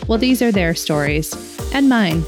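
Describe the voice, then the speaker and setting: A woman who hosts a podcast, reading the show's scripted opening in a measured, list-like delivery. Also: mid-range voice, expressive. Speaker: podcast host. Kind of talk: scripted show intro over music. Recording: music playing under the voice.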